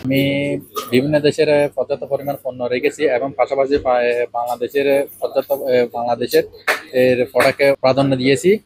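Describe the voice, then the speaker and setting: Flat-toned man giving a stiff interview statement. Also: medium pitch, normal speed, rough recording. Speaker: shop owner interviewed. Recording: faint background noise.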